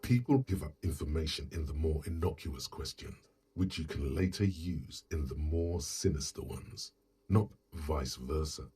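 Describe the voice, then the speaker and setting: An actor playing the grave narrating inner voice of a detective in a video game, deliberate, knowing and literary. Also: male voice, extremely low pitch, expressive, mellow, husky, heavily acted. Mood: analytical, advising.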